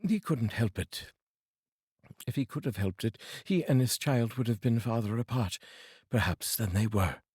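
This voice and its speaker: A man with a British accent reading a classic novel aloud as narrator, dramatic and expressive. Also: medium pitch, moderate pace, breathy edge, no echo, studio quality.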